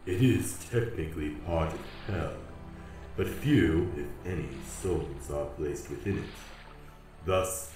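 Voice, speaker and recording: A giant grim reaper speaks very low and slowly, with formal, deadpan patience. The solemn voice booms through heavy echo over music in a comic fantasy radio play. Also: male voice, recorded from a distance. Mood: matter-of-fact. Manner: slow, formal, deliberate, deadpan.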